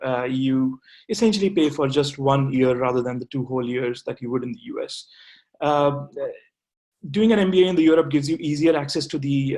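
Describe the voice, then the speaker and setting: A man, speaking as an MBA graduate in a webinar, pausing often on "uh" and restarting phrases. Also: mid-range voice, a little mellow. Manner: hesitant, with frequent "uh" fillers and restarts. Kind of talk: webinar talk answering questions.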